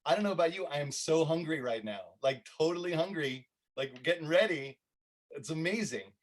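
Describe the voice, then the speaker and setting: Higher-voiced man hosting a community event. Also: animated, normal speed. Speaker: host of a community concert.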